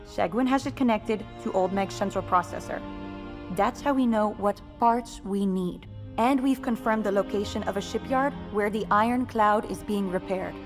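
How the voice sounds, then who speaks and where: A woman's voice: mid-range voice, normal speed, over music, close to an everyday voice. An actress playing a level-headed, resolute female rebel leader in dramatic video game dialogue, matter-of-fact and commanding. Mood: measured, determined.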